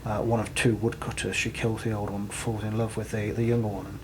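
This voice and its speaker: A man co-hosting a radio show, explaining things casually in a halting, matter-of-fact way. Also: fairly low pitch, little pitch movement. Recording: noticeable background noise.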